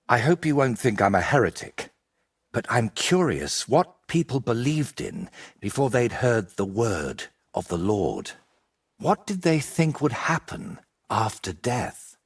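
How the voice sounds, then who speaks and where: An actor playing an ambitious, vain medieval painter in a video game, speaking in an articulate, theatrical and persuasive way. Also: male voice, mid-range voice, sing-song, slow, breathy edge, heavily acted. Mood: intrigued, storytelling. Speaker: painter character. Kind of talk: video game cutscene dialogue.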